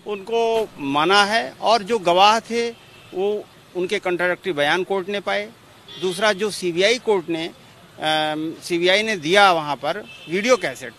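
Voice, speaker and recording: A man's voice: high voice, a bit bright. A man, a lawyer giving formal, repetitive explanations in a press interview. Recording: outdoor street noise behind.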